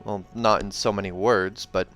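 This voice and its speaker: A man's voice: lowish voice, steady tone, moderate pace, a bit bright, soft background music, natural voice. A man voicing an earnest, protective young adventurer in a storybook, in a plain, defensive manner.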